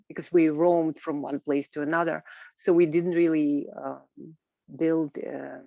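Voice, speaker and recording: Halting woman, creaky voice, muffled call. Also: deep voice, no room sound. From Kazakhstan. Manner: hesitant, halting, with many filled pauses. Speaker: invited panel speaker. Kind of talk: informal spoken presentation at an online roundtable. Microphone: video call microphone. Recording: muffled, band-limited video call audio.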